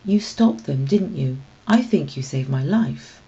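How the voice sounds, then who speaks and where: A woman's voice: deep voice, expressive, measured pace, dark tone, lo-fi sound, slight background noise. A woman voicing an earnest, unsure young male cat hero in a children's storybook reading. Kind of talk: children's storybook read aloud.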